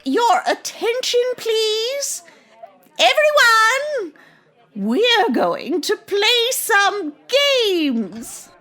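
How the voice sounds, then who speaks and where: Woman playing a slow, swooping, sweet old grandma. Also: very high voice, sing-song, bright, forceful tone, heavily acted. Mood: excited hostess. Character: sweet, chatty, oblivious old grandmother hostess. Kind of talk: old-time radio comedy acting.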